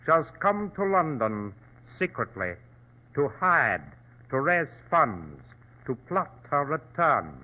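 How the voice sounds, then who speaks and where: A wealthy, ruthless governor from China in an old radio play. His speech is courtly and very animated, slightly high, through a narrow tinny broadcast sound. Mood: determined. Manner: courtly, formal, measured. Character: dignified, ruthless wealthy Chinese provincial governor. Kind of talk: 1940s radio drama acting. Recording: thin, narrow-band old radio recording.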